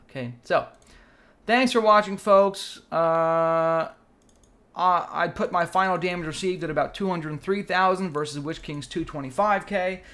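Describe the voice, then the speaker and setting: A man's voice: on the high side, fairly bright tone. A man hosting a gaming video, giving analytical commentary with announcer-like hype and addressing his viewers directly.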